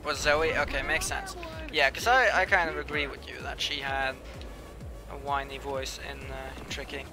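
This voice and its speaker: A man's voice: medium pitch, steady tone, normal speed. A young man live-streaming a video game, chatting casually with viewers and muttering to himself as he plays, with a slightly whiny voice. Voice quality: says he has a whiny voice. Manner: chatty, mumbles asides to himself while playing. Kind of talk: casual live-stream commentary, reading chat. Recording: clear music playing behind. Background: background music.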